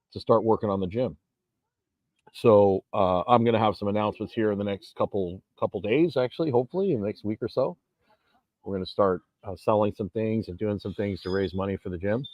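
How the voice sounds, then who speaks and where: A man's voice: on the low side, no room sound, lo-fi sound. A man in his forties talking casually in an emphatic, rambling monologue, recorded outdoors. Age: 40s. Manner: rambling, emphatic, frequent restarts. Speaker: vlogger and gym owner. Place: outdoors on a beach.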